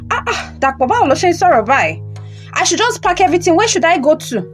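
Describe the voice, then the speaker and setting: A woman's voice: very high voice, very animated, fast talker, soft background music. A woman acting a distressed, defiant Nigerian wife. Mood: indignant, distressed. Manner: exclamatory, questioning. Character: distressed, defiant wife and mother pleading with her husband. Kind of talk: audio drama acting.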